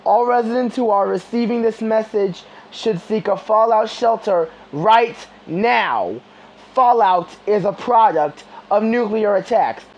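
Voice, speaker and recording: A person reading a scripted emergency broadcast in a deliberate, formal announcing manner. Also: mid-range voice, muffled recording.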